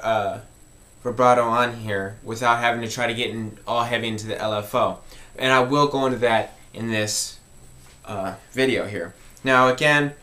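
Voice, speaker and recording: A man's voice: medium pitch, fairly bright tone. Man giving an informal tutorial. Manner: explanatory, conversational, repeats key points. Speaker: music tutorial host.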